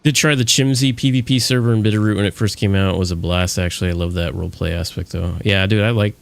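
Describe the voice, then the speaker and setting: Male streamer, deep low voice with gravel, casual rambling gaming chat. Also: steady tone, fast talker, no room sound. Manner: rambling, lots of fillers and restarts.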